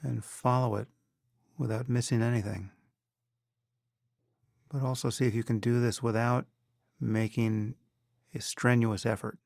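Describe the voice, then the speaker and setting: A man leading a guided meditation as a teacher, in a measured, instructional manner with pauses between phrases. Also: medium pitch, flat delivery, creaky, darkish tone, no room sound, clean studio sound.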